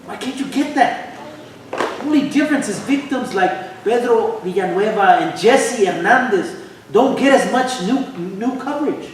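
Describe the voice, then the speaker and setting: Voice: mid-range voice, animated, moderate pace, lightly acted. A performer playing a frustrated, insistent young activist angry about police killings in a staged play reading. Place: theater hall, recorded from the audience. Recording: echoey, noisy, distant stage recording.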